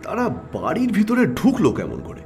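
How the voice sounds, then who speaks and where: An actor playing a composed, shrewd private detective in an audio drama, speaking in a measured, probing way. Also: male voice, sits high, huge pitch swings, darkish tone, soft background music, exaggerated voice. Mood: inquisitive. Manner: measured, probing, terse questions. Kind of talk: Bengali audio story acting.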